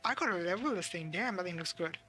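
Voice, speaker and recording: Casting: casual livestream gamer, forceful bright voice with fry, muttering complaints over faint game music. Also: mid-range voice, expressive, fast. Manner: grumbling, sweary, thinking aloud. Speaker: game streamer. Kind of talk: casual livestream gameplay commentary.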